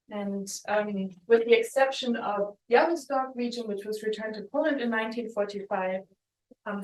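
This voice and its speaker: German woman historian giving a talk, kinda halting, roomy sound, mic a bit far off. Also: fairly high pitch. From West Germany. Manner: thoughtful, hesitant, with restarts and ums. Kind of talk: academic lecture with slides.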